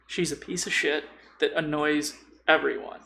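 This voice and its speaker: A man chatting casually on a podcast about games, telling stories in an informal, animated, sweary way. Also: on the high side, normal speed, gravelly, slight background noise. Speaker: podcast host or guest discussing tabletop games. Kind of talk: casual chat.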